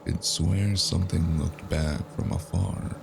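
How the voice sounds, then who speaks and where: An actor playing a grim, weary cyberpunk man who narrates his story in clipped, brooding noir phrases with a gravelly voice. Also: low voice, steady tone, unhurried, background noise, full character voice. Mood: brooding dread. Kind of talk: scripted first-person noir narration. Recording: loud music under the voice. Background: music.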